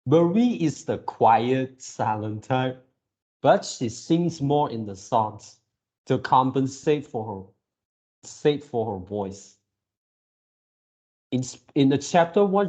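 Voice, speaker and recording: Dark-voiced young man, halting delivery. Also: mid-range voice. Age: early 20s. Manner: halting, repeats and rephrases himself. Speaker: video channel host. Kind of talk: casual reaction video talk to viewers.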